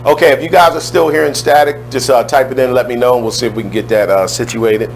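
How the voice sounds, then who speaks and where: A man with a loud, strong voice hosting a radio talk show in a casual, chatty way, speaking into a studio microphone. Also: mid-range voice, fairly even intonation, fast talker, slight background noise.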